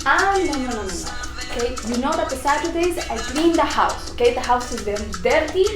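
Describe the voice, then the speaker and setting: A bossy middle-aged mother, put on by a woman, scolds in an insistent, high-pitched voice. The room is echoey, and loud dance music blasts behind her. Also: lively intonation, brisk. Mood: scolding.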